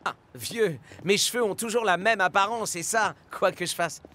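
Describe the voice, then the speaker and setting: An actor voicing a laid-back young football player in a French cartoon dub. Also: male voice, high voice, normal speed, sharp tone. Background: background music.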